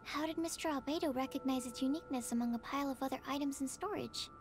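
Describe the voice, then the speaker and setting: A woman's voice: high voice, quick pace, slight background noise, natural voice. A woman playing a shy, earnest young female alchemist in a video game, polite and easily flustered, with hesitant speech. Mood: thoughtful, earnest.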